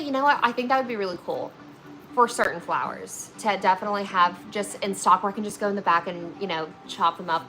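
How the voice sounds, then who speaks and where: A woman's voice: medium pitch, bright, forceful tone, a little hiss or hum, low-quality recording. A woman in her twenties, a flower preservation artist hosting a livestream, who chats casually with viewers while she works and fills her talk with "like" and "okay?". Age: 20s. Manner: chatty, filler-heavy, often checks in with "okay?". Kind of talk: casual livestream chat while working, reading viewer comments.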